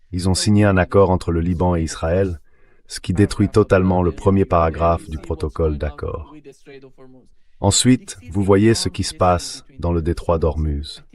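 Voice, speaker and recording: A man reading a translated voice-over of political analysis in a measured, explanatory way. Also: low-pitched, a little mellow, lightly acted.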